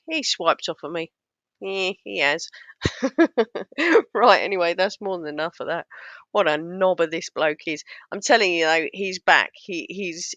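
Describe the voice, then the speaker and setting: A woman giving casual, scornful reaction commentary on a clip, a little hoarse as she loses her voice. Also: lowish voice, pushed and bright, dry recording, muffled recording. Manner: scornful, sarcastic, mocking. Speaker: YouTube commentator.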